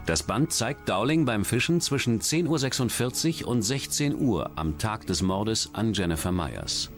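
A man's voice: lowish voice, expressive, a little slow, faint music behind. A man reading scripted true-crime narration as a documentary narrator.

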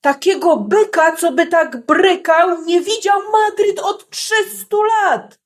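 Woman acting an excitable, shrill bullfight spectator. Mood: awed, fearful. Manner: exclamatory, drawn-out cries. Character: excitable bullfight crowd member, shouting in awe.

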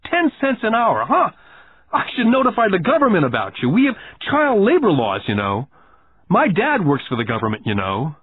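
A man's voice: medium pitch, wildly swooping pitch, normal speed, breathy edge, no room sound. A man voicing an indignant, pouting nine-year-old boy who blurts out aggrieved complaints in an audiobook. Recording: muffled, phone-like narrow band.

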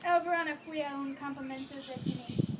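A woman's voice: high-pitched, fairly even intonation, moderate pace, close to an everyday voice. A teenage girl playing a girl camper in a school skit, speaking careful learner Spanish. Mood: friendly. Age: teens. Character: teen girl camper Lizzie. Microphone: far camera. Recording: roomy, distant, loud noise home video.

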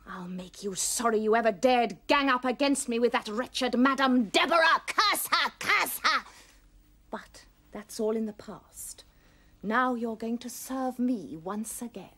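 A woman's voice: on the high side, a little slow, bright, forceful tone. A woman voicing a tyrannical, power-hungry cartoon queen who speaks imperiously and drops into hissing whispers. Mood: menacing. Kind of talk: cartoon voice acting.

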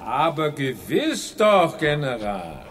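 An actor playing a gloating mad genius villain in a children's sci-fi radio drama, mock-courteous and theatrical. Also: male voice, on the high side, huge pitch swings, takes it slowly, fairly bright tone, husky, heavily acted. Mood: gloating, menacing.

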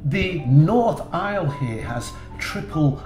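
A man hosting a church tour video, narrating in a slow, dry way with wry asides. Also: a little high, expressive, a little mellow, reverberant, soft background music.

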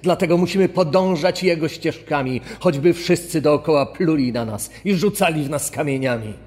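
A man's voice: fairly high pitch, moderate pace, a little mellow, close to an everyday voice. A man playing a fanatically religious father who preaches righteously in a true-crime audio drama. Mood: fervent, righteous. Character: fanatically pious father preaching to his family. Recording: long echoing reverb.

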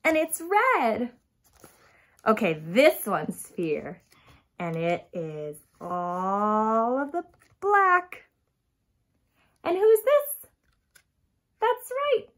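A woman in her thirties reading a picture book aloud to young children in an expressive, dramatic way, stressing key words and addressing her listeners directly. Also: fairly high pitch. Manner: dramatic gasps and emphatic, drawn-out key words. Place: small furnished room at home, indoors.

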